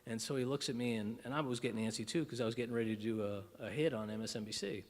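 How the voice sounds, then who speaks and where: A man's voice: medium pitch, racing, big-room sound. A man giving a humorous, self-deprecating keynote speech at a breakfast event, telling stories animatedly with frequent restarts. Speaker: keynote speaker. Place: conference breakfast room.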